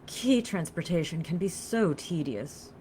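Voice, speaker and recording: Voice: mid-range voice, animated, a little slow, darkish tone, slight background noise, pitched above the performer's own voice, lightly acted. A narrator voicing an elegant female vampire lover in a story, speaking in a caressing, formal, commanding way.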